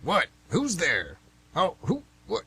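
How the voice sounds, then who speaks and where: A man portrays a cranky old retired fellow in a radio play. He rambles in a folksy, gruff way, his voice a little husky and rising and falling freely. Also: high-pitched, wildly swooping pitch, slow pace, pushed and bright, full character voice. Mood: startled, irritable. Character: grumpy, hard-of-hearing old retired man. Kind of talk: amateur radio play acting.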